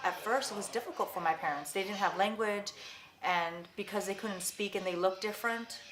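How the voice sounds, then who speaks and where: A woman's voice: medium pitch, fairly quick, crowd noise. Thoughtful woman in an echoey room. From Vietnam, raised in Los Angeles. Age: 40s. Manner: thoughtful, with frequent restarts. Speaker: artist interviewee. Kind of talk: reflective oral history interview. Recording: echoey room, faint background noise.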